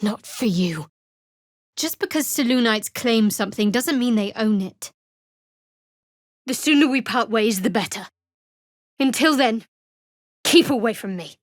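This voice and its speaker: A woman's voice: a little high, animated, a little slow, long pauses, dry recording. An actress voicing a guarded, sardonic young female cleric in a video game, with a dry, wry manner. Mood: cold, threatening. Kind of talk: video game character voice acting.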